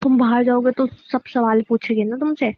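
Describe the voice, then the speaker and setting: A woman's voice: a little high, steady tone, moderate pace, close to an everyday voice. A young woman playing a playful, coaxing newlywed wife in casual, teasing audio-drama dialogue. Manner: teasing, informal. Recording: dull lo-fi phone-call style audio.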